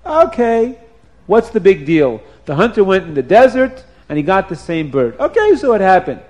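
A man's voice: sits high, big pitch swings, moderate pace, darkish tone, muffled recording, voice pushed up. A man voicing a scheming, greedy royal minister in a children's storybook tale, cocky and self-justifying. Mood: dismissive.

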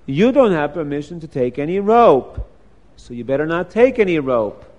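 A man's voice: fairly high pitch, sing-song, moderate pace, muffled recording. A man voicing a good inner conscience in a children's moral tale, admonishing and reasoning insistently. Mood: firm warning. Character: firm, cautioning good conscience in a boy's head.

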